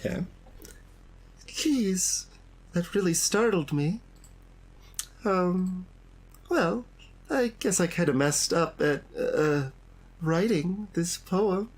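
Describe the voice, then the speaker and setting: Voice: mid-range voice, animated, slow, pauses often, breathy edge, full character voice, poor audio. A game streamer voicing a polite, earnest teenage schoolgirl in a visual novel, hesitant and apologetic. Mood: flustered, apologetic. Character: polite, earnest teenage schoolgirl, anxious about her poem. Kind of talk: reading visual novel dialogue in character. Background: game music.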